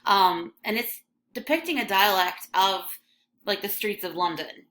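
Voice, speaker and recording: A woman's voice: medium pitch, a little slow, fairly bright tone. A young woman from Michigan with a slight Michigan accent, teaching an English lesson in a casual, chatty way full of ums and likes. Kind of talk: recorded classroom lesson over a scrolling text.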